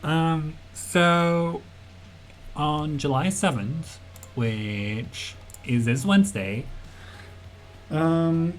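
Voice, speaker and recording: A man's voice: a little high, drawn-out words, pauses often. A man hosting a casual game jam livestream, chatting in a friendly way with many ums and uhs and answering viewers' questions from chat. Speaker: game jam stream host.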